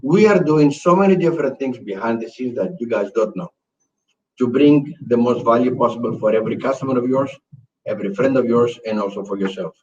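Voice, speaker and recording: Man, webinar co-host, excitable, talks over others, distant mic, muffled sound. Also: medium pitch, brisk. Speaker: company executive co-host. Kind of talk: casual webinar chat.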